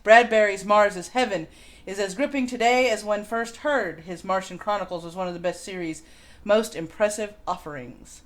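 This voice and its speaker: A woman's voice: medium pitch, moderate pace. A woman hosting a show, chatting casually and reading out background notes. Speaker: host of a riffing show.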